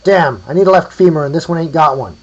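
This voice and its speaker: A man voicing an eager, businesslike grave robber calling out loudly in a storybook tale. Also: fairly high pitch, fast, dull audio, voice pushed up, natural voice. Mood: brisk, eager.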